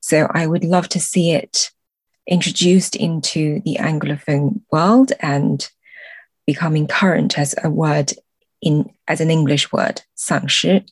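A woman with a low voice speaks slowly as a podcast guest. She explains carefully and thoughtfully, pausing between phrases. The recording is very dry. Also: steady tone, husky, no echo. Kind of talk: explanatory interview talk.

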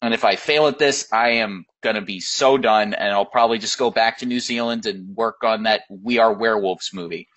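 A man's voice: on the low side, normal speed, few pauses, a bit bright. A man co-hosting a casual film chat, rambling with lots of fillers and restarts. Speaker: podcast co-host. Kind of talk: casual chat about films.

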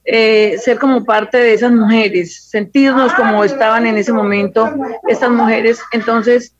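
A woman's voice: a little high, steady tone, measured pace. Colombian female designer as interview guest, level intonation, somewhat dull lo-fi sound. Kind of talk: spontaneous interview answers.

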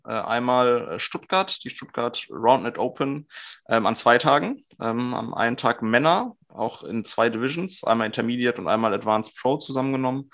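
A man hosting a relaxed podcast, talking in a chatty way full of fillers and little tag questions. His voice sits at an ordinary middle pitch. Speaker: podcast host. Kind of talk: casual podcast chat.

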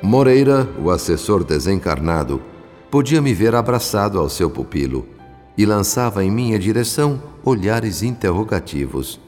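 A man with a Brazilian accent playing a solemn, compassionate narrator, telling a radio drama in measured literary phrases. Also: lowish voice, big pitch swings, a little mellow. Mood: solemn, tender. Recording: long reverb with music behind. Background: music.

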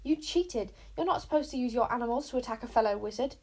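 A woman's voice: a little high, steady tone, a little fast, close to an everyday voice. A woman voicing a mocking boy wizard who bullies his rival, taunting and crowing. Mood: outraged.

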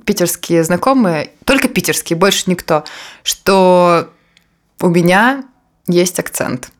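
A woman's voice: fairly low pitch, lively intonation, measured pace. A young woman from the Murmansk region, co-hosting a podcast in a playful, rambling casual chat. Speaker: podcast co-host.

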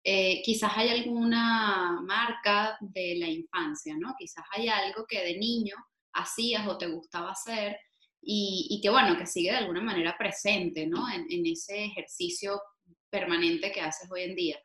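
A woman's voice: medium pitch, roomy sound, rough recording. A woman from Venezuela hosting a podcast interview, asking questions with frequent tag questions. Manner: measured, warm-toned questions with frequent "¿no?" tags. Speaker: podcast host.

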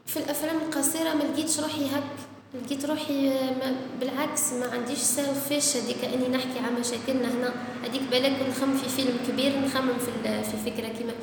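Algerian lady screenwriter chatting, thinks out loud, drops french words, echoey room with faint hiss. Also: high-pitched, steady tone, rapid-fire. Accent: Algerian Arabic. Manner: thoughtful, mixes in French words. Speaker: screenwriter guest. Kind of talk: casual interview. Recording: echoey room with long reverb, faint noise.

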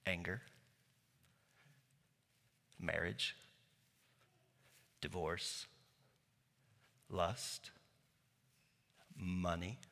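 A man's voice: deep voice, extremely slow, creaky, pauses often, pushed and bright. A male pastor preaching a sermon, telling a story in a casual way with many fillers and restarts. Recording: long hall reverb.

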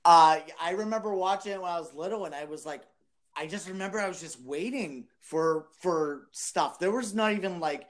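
A man with a somewhat high voice talks casually as a podcast panelist. He is animated and halting, starting and restarting his thoughts in an informal, chuckly way.

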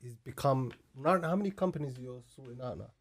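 A man with a London accent hosting a podcast in casual slangy chat, supportive and quick to agree. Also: mid-range voice, lively intonation, a crowd in the background. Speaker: podcast host.

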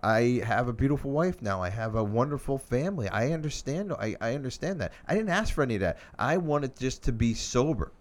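A man's voice: medium pitch, sing-song, fairly quick, few pauses. A man in casual conversation, speaking reflectively, swearing now and then. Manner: reflective, swears, laughs often. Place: room in Massachusetts.

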